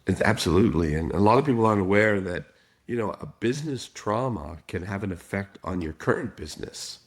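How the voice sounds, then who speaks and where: A man with a raspy voice, a guest on a podcast, explaining his ideas calmly. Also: lowish voice, brisk. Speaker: guest, trauma specialist. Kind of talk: casual podcast interview.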